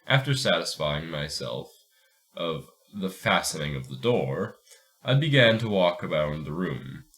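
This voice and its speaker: A man's voice: low-pitched, sing-song, a little slow, a bit bright. A man co-hosting a podcast, moving between casual chat and dramatic reading of a story.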